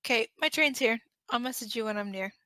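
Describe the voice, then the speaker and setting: A woman voicing a nervous, excited young man in an amateur fan fiction drama, with a casual, deadpan, unhurried delivery. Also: a little high, no room sound, studio quality. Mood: casual anticipation.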